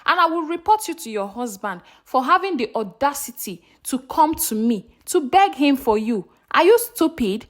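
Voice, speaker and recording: Brazen, high-voiced young mistress played by a woman. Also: big pitch swings, moderate pace, a bit bright. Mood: furious, contemptuous. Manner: taunting, snapping insults. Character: brazen, scornful young mistress, haughty and greedy.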